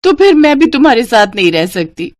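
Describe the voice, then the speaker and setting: A woman's voice: a little high, big pitch swings, a little fast, noisy background, pitched above the performer's own voice. A woman voicing a stubborn, pampered rich young bride in a moral-story cartoon, pleading insistently and dramatically. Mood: upset, complaining.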